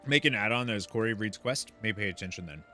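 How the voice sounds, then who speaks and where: A man's voice: lowish voice, quick pace, sharp tone. A man giving casual commentary while playing a fantasy game and reading quest text aloud. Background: game music.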